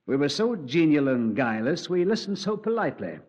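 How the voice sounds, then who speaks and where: A calm Yard detective. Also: male voice, a little high. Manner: measured, polite, dryly ironic. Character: composed male Scotland Yard detective narrating. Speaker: detective and narrator. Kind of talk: 1950s radio drama. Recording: dull old radio transcription.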